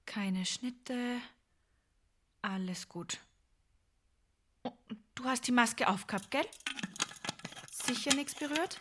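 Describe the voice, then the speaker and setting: A woman's voice: fairly high pitch, slow pace, pauses often, a bit bright. A woman acting a fiercely protective, distrustful mother in a post-apocalyptic audio drama, in terse, clipped lines. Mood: protective, hushed. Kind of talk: acted audio drama dialogue.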